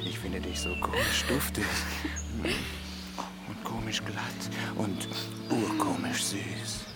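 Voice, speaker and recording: A man's voice: fairly low pitch, expressive, slow. An actor playing an amorous, wild half-ape young man who murmurs and teases, in a radio drama with music behind. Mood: playful, amorous. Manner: breathy sniffing, murmured teasing, sudden squeals.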